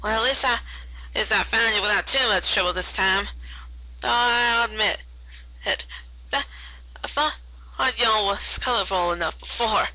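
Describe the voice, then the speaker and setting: A woman's voice: mid-range voice, moderate pace, long pauses, pushed and bright, no echo, poor audio. A woman voicing a teasing female cartoon farm pony with folksy country phrasing.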